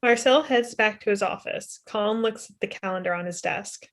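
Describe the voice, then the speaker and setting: A woman's voice: medium pitch, normal speed. A woman in her twenties reading stage directions matter-of-factly at a table read over a video call. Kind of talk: scripted narration at a table read. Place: indoor room at home.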